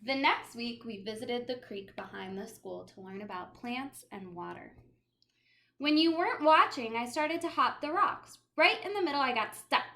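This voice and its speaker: Bright, animated woman reading a storybook. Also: a little high, normal speed, a little hiss or hum. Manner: expressive, dramatic emphasis. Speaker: teacher reading a picture book aloud. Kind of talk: animated children's storybook read-aloud.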